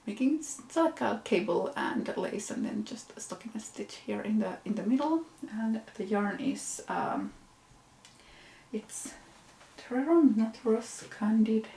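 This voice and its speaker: A woman's voice: medium pitch, gravelly, mellow, roomy sound, poor audio. A Finnish woman with a Finnish accent, a knitting podcast host, chatting casually with frequent fillers and self-corrections. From Finland. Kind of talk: casual show-and-tell chat.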